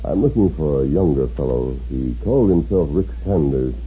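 A man's voice: a bass voice, expressive, normal speed, dark tone, husky, faint music behind, heavily acted. A man playing a commanding masked western hero in a 1950s radio drama. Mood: probing, calm. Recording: muffled old radio recording, faint noise.

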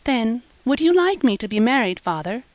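Woman reader doing young country girl: gentle, affectionate, earnest; dry booth sound. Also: on the high side, sing-song, normal speed, no room sound, voice pushed up. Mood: tender. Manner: earnest and tender, old-fashioned rural speech.

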